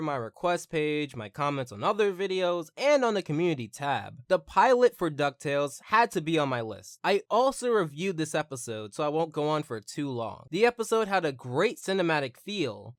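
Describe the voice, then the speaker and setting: High-pitched, lively man counting down favorites. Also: no echo, clean studio sound. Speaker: host of a cartoon review channel. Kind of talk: scripted top-ten countdown commentary.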